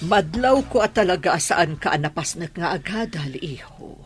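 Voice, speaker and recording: An actor playing a stern, doubting old grandmother who nags in a husky voice, in a comedy radio drama. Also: mid-range voice, lively intonation, normal speed, soft background music, natural voice. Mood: doubtful, admonishing. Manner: nagging, admonishing.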